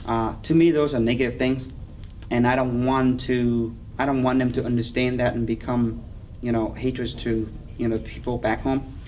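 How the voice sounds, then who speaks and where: A man's voice: mid-range voice, flat delivery, a little mellow. Vietnamese-accented man, distant and noisy. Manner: earnest, halting, many fillers. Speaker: interviewee. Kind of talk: oral history interview. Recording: distant mic with clear background noise.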